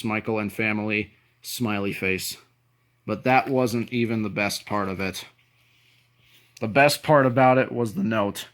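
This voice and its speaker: A man's voice: fairly low pitch, fairly bright tone. Sentimental man in a casual vlog. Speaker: YouTube video creator. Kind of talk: casual show-and-tell vlog, partly reading aloud.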